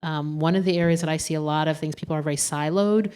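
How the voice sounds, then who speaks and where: A woman on a panel stage, talking into a handheld mic in a ballroom. Her voice sits low, and she is animated, slipping into quoted voices to make her points. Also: fast, clear, resonant tone. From Germany. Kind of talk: panel discussion talk.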